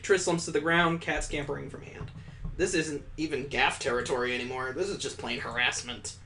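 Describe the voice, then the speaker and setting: A man's voice: mid-range voice, steady tone, brisk, sharp tone, a little hiss or hum. A man reading visual novel text aloud as a gameplay host, with theatrical, mock-epic narration over music. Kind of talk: dramatic storybook-like narration of game text. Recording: music behind the voice.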